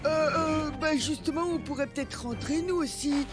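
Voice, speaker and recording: A woman's voice: sits high, normal speed, lightly acted. A woman voicing a timid young sidekick boy in a dubbed cartoon, hesitant and plaintive. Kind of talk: animated series dubbing. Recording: music playing behind.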